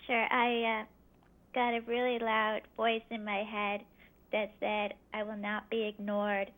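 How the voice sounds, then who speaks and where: A woman's voice: mid-range voice, completely flat delivery, measured pace, a bit bright, rough recording. A woman as an interview guest, recounting a personal experience in brief, plain answers.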